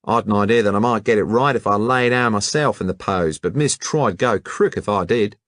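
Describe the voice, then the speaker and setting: A man's voice: lowish voice, moderate pace, fairly bright tone, no echo, clean studio sound, voice pushed up, natural voice. A man voicing a brash young male Australian art student with broad colloquial slang and an Australian accent. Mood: eager.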